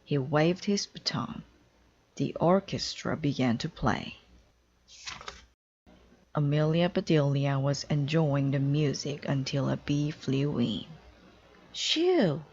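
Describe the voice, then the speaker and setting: A woman's voice: sits low, steady tone, takes it slowly, pauses often, darkish tone, dull audio, a little hiss or hum. A woman narrating a children's storybook aloud as a reader.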